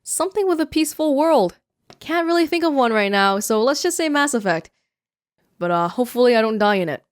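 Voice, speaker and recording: A woman's voice: a little high, expressive, brisk, no echo. A young woman from Canada answering viewer questions in a casual vlog, chatty and self-mocking, speaking close to the microphone. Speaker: YouTuber. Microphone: close studio mic.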